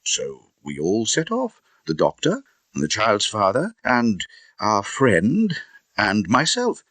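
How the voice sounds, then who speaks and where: An indignant Victorian gentleman, played by a male audiobook reader recounts a grim incident in a British accent. The voice is low and husky, swinging dramatically in pitch. It is closely recorded and dry, with emphatic conversational asides. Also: dry recording, full character voice. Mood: wry, emphatic.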